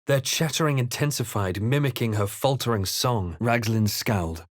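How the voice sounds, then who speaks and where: An actor playing a solemn adventurer who recounts a dark fantasy journey in measured, literary game narration. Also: male voice, mid-range voice, no room sound, studio quality, close to an everyday voice. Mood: grave. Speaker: narrating player character. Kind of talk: scripted first-person fantasy game narration.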